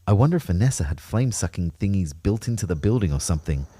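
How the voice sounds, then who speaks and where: A man with an Australian accent playing a bemused, wry first-person narrator in an audio drama, with a husky, expressive voice. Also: low voice, fast, slight background noise. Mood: puzzled, reflective.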